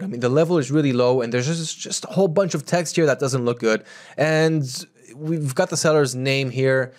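A man in his thirties presenting a screen-share tutorial, talking straight to viewers in an energetic way and reading text aloud, seated indoors at a close mic. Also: mid-range voice. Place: indoor home studio, seated in a gaming chair.

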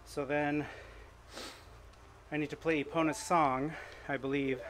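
A middle-aged man streaming a game while cycling at home, chatting upbeat and thinking out loud. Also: fairly high pitch, level tone, measured pace, slight background noise. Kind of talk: casual gaming commentary while cycling. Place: home room with indoor bike.

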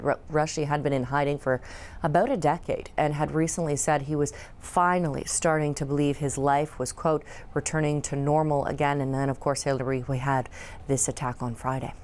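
A young woman correspondent giving a live news report from a newsroom studio, measured and hesitating with fillers. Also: sits low, steady tone, a little mellow.

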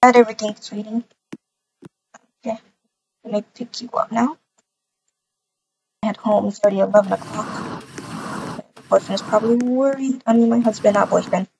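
A woman's voice: mid-range voice, fairly even intonation, moderate pace, creaky, pauses often, darkish tone, natural voice. A woman playing an affectionate, harried young mother in a game roleplay, murmuring hesitantly as she thinks aloud. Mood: mildly hurried. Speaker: roleplay video creator. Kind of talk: improvised game roleplay, muttering to herself and a child. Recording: dull lo-fi mic with noise, clicks.

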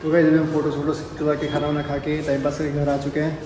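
A man's voice: a little high, completely flat delivery, very fast. A young adult Indian man chatting casually and teasingly to his vlog viewers, mixing in English words. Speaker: vlogger. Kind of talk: casual vlog chat in Hindi-English mix. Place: busy malls and night food courts. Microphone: phone at arm's length. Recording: noisy outdoor crowd and traffic ambience.

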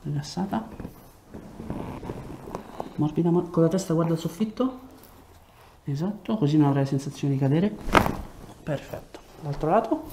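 A man's voice: on the high side, moderate pace, long pauses. A man, working as a chiropractor, giving a patient short instructions, check-in questions and hands-on explanations. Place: small treatment room, indoors. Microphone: lapel mic. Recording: echoey room with background noise.

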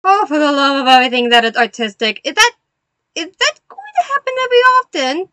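A young adult woman narrating a craft video as host in a playful, animated, self-mocking way. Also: high-pitched, fast talker, no echo.

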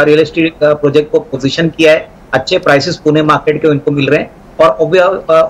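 A middle-aged man, a market analyst on a business TV channel, giving steady stock advice and mixing in English terms. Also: fairly high pitch, rough recording. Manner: steady advisory tone, Hindi mixed with English terms.

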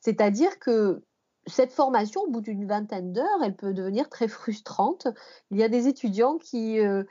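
Female podcast expert, mellow and slightly high, explanatory delivery with rephrasing, dull audio. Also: animated, normal speed, slightly rough. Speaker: guest expert, language teacher and researcher. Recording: muffled, band-limited podcast audio.

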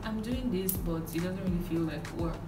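A woman's voice: on the low side, a little fast. A young woman with a Nigerian accent, telling stories in casual chat as a guest. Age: young adult. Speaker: guest, makeup artist. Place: small room indoors, seated beside host. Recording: echoey room, distant mic, music underneath.

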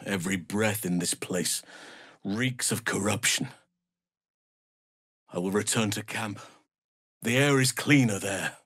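Deep earnest nature druid for a video game, measured and formal, really dry sound. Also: male voice, a bit bright, husky, no echo, heavily acted. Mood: calm, thoughtful. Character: solemn, gentle male druid of nature. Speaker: video game character voice actor. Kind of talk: dramatic video game dialogue.

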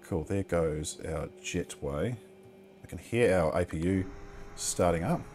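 A man in his thirties with a relaxed New Zealand accent talks through a flight simulator session in a very low voice. He rambles with frequent ums and the odd mild swear word. A faint background hum sits under his headset mic. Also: a little slow. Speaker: flight sim streamer. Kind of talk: casual gameplay commentary.

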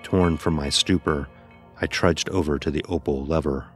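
A man narrating a scripted first-person horror story in a grave, deliberate storytelling manner. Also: rumbling low, fairly even intonation, faint music behind.

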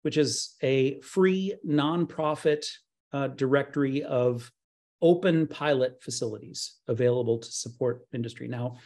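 A middle-aged man giving an online slide presentation in halting, pause-filled phrases. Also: medium pitch, a little mellow. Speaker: conference presenter, nonprofit co-founder. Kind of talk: slide presentation at a virtual expo. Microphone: webcam on a video call.

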